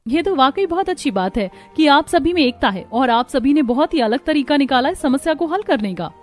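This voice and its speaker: A woman's voice: high-pitched, animated, fairly quick, darkish tone, soft background music, pitched above the performer's own voice. A woman voicing a businesslike, appreciative snake-catching expert in a cartoon story.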